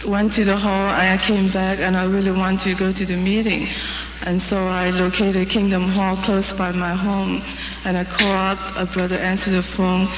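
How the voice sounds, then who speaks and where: A woman from North China, raised in Hong Kong, telling her story hesitantly in a stage interview. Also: medium pitch. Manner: hesitant, plain storytelling with fillers. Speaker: interviewee giving her experience. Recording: dull, hissy recording in an echoey hall.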